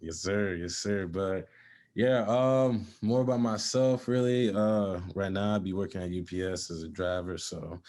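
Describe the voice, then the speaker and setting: A man's voice: low-pitched, steady tone, a little slow, a little mellow. A young man born in Zimbabwe and raised in South Dakota, chatting casually as a podcast guest in laid-back slang. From born in Zimbabwe, raised in South Dakota from age four. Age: young adult. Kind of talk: casual chat.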